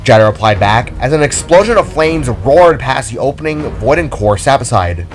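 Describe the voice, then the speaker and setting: Animated male narrator over soft music. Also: medium pitch, normal speed. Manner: animated, expressive storytelling. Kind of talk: dramatic reading of an action story. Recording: music under the reading.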